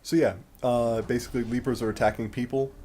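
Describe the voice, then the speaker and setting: A man's voice: mid-range voice, fairly even intonation, quick pace, mellow. A man giving casual, explanatory gameplay commentary with a sardonic streak and some mild swearing. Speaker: Let's Play host and commentator. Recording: game music behind the voice. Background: video game music.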